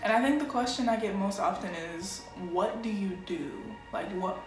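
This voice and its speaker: A young American woman talks informally to camera, like a vlogger introducing herself. Her pitch is average, and her phrasing is slangy, with thoughts broken off midway. The room rings noticeably around her voice, and music plays behind it. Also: normal speed. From Chicago, United States. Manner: informal, self-interrupting, slangy. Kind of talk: casual chat to camera. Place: small plain-walled room indoors. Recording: echoey room with music underneath.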